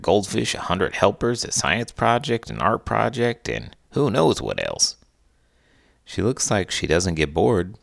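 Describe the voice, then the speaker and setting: A man's voice: deep voice, gravelly, a bit bright, no echo, studio quality, full character voice. A man voicing a folksy old cowboy sidekick who rambles wryly and grumbles in a children's storybook show.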